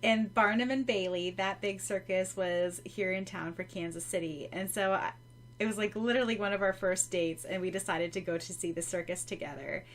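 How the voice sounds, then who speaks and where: A woman from the United States talks as a podcast co-host, with a medium-pitched voice. She is effusive and giggly, moving between casual chat and reading aloud. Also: fairly even intonation, normal speed.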